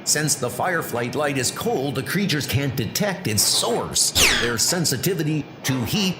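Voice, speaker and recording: A man's voice: a little high, lively intonation, unhurried, music in the background. A man narrating a comic-book story in a theatrical, emphatic, scripted style.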